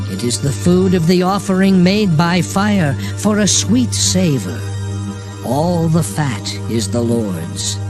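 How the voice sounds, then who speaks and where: Man, fairly high voice, solemn Bible narration, measured formal delivery, soft music behind. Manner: formal, measured reading of archaic scripture. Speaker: scripture narrator.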